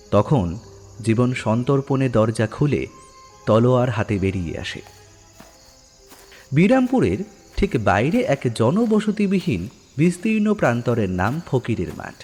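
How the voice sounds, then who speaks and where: A man narrates a horror story in a dramatic, scripted storytelling style. His pitch moves in lively swings over a faint bed of music.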